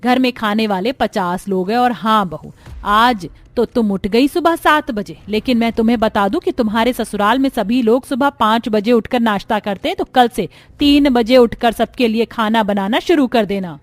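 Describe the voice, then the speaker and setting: A bossy older mother-in-law from a cartoon family story, acted by a woman. Her high voice scolds and commands, laying down the rules of the house. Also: normal speed, no room sound, natural voice. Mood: commanding. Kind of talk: Hindi cartoon family drama voice acting.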